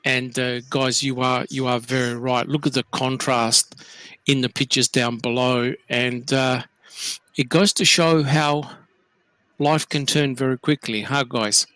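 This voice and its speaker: Bright-voiced Australian man hosting. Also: medium pitch, fairly even intonation, a little slow, no echo, low-quality recording. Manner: leads with questions, many fillers. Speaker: podcast host. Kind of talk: casual group discussion.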